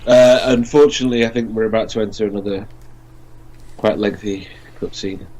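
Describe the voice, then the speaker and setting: A man's voice: fairly low pitch, level tone, a little fast, a little mellow, rough recording. Man casually commenting on gameplay. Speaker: let's play commentator.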